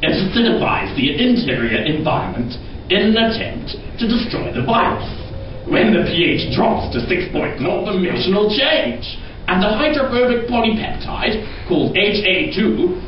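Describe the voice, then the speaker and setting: A young man playing an excitable scientist puppet, lecturing theatrically in an amateur educational show. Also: sits high. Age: young adult. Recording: very echoey room, distant dull noisy microphone.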